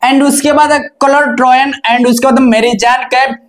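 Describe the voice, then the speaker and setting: A man's voice: very high voice, little pitch movement, normal speed. A young man presenting a cricket match preview in a didactic, repetitive way, like a lesson at a board. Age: young adult. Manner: didactic, repetitive stock phrases. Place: indoor studio in front of a digital board.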